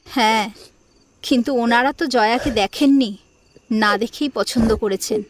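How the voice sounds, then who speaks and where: A woman's voice: fairly high pitch, a little slow, noisy background, lightly acted. A woman acting a weary, curt middle-aged mother and mother-in-law in an audio drama. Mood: restrained.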